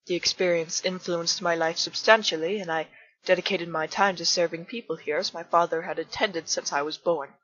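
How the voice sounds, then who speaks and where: A woman voicing an earnest, polite male bartender with formal, measured speech in a fan comic dub. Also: on the low side, fairly even intonation, a bit bright, dry recording. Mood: wistful. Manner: formal, measured, careful diction. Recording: muffled husky recording with faint music.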